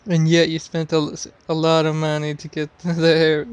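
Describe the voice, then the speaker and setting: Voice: medium pitch, completely flat delivery, moderate pace, clear, resonant tone, dry recording. A game streamer giving casual commentary, musing and hesitant, with game music behind. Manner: musing, hesitant, thinking aloud.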